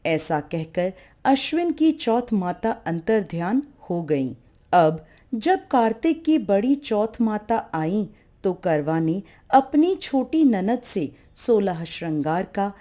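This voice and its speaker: A woman's voice: medium pitch, warm tone. A woman narrating a devotional folk tale as a storyteller.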